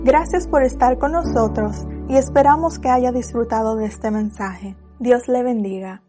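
A woman's voice: a little high, normal speed, music in the background. A woman reading a polite, scripted closing announcement.

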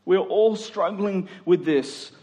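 A man's voice: sits high, slow, dark tone. Australian man preaching in an echoey hall. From Queensland, Australia. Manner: conversational and earnest, repeats phrases for emphasis. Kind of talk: sermon. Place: large room, preaching to a congregation. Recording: echoey hall with long reverb.

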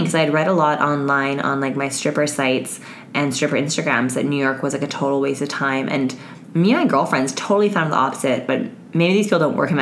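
Hoarse, raspy low-voiced woman. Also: slight background noise. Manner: chatty and frank, with many fillers and swearing. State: hoarse, voice giving out. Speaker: podcast host. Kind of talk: casual solo podcast chat.

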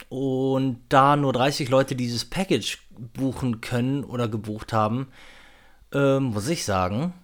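A male podcast host with a mid-pitched voice speaks off the cuff. He is informal and chatty, feeling his way through a casual announcement with a hesitant, rambling delivery.

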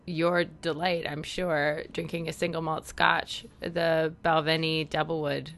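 Canadian woman cohost, really low gravelly voice, chatty and loose. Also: flat delivery, measured pace, fairly bright tone. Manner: chatty, lots of "like", hesitant restarts. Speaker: podcast co-host. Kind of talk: casual chat.

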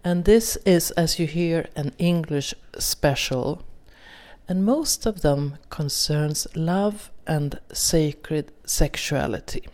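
A woman from Sweden with a Swedish accent, hosting a podcast interview in gentle English with small slips. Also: low voice. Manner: slow, gentle non-native English with small grammar slips. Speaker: podcast host.

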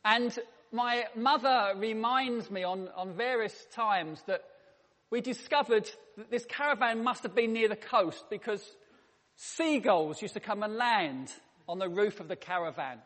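High-voiced preacher in a reverberant church. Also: male voice, a little slow, fairly bright tone. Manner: humorous anecdotal storytelling. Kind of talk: sermon. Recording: long reverb in a church hall.

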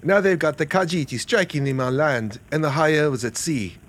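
Fantasy audiobook: a scornful, loud Nord man, done by a male reader. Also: on the high side, moderate pace, lightly acted. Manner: contemptuous, blustering. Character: gruff scornful male Nord diplomat, prejudiced.

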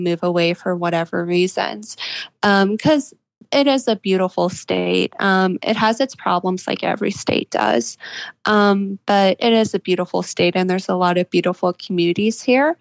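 A woman's voice: medium pitch, gravelly, no room sound, poor audio. A woman of about twenty from West Virginia with an American accent, speaking earnestly and agreeably as a guest author in a conversational interview. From West Virginia, United States.

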